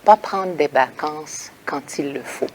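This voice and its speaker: A woman hosting an interview, chatty and lively, reading out questions for her guest. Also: low voice, measured pace, slight background noise, low-quality recording. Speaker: host. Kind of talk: casual interview chat.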